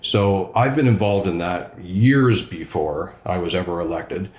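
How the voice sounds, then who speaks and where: A man's voice: deep voice, measured pace, roomy sound. A man, a regional councillor, answering interview questions with emphatic enthusiasm. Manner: enthusiastic, emphatic, self-described loud voice.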